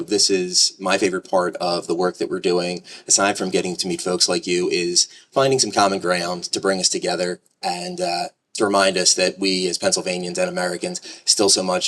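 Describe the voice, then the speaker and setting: A man's voice: deep voice, fairly bright tone, recorded from a distance. A man hosting an outdoor courthouse ceremony, speaking earnestly with small stumbles. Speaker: master of ceremonies. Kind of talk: public speech at outdoor ceremony.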